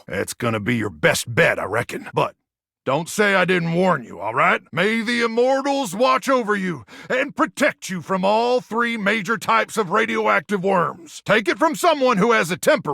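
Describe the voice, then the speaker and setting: An American man voicing a feral, preachy wasteland soldier in a video game, with a gravelly voice and a folksy, theatrical drawl. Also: high-pitched, very animated, moderate pace, bright, forceful tone, no echo, clean studio sound, full character voice. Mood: solemn, confiding. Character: feral, zealous, bragging wasteland soldier of a household appliance. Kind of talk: video game character voice acting.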